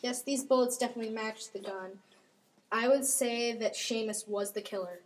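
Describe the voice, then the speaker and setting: A woman's voice: on the high side, moderate pace, slight background noise, lightly acted, rough recording. A woman playing witness and medical examiner parts in an amateur old-time radio mystery. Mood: matter-of-fact. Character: female witness and medical examiner in a murder case.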